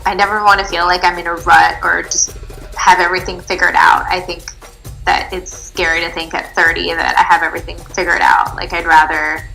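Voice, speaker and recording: A woman's voice: on the low side, level tone, fairly quick, gravelly, pushed and bright, soft background music, rough recording. A woman in her late twenties from Switzerland, chatting in an upbeat way with many fillers in a pre-game interview. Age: late 20s. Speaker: contestant.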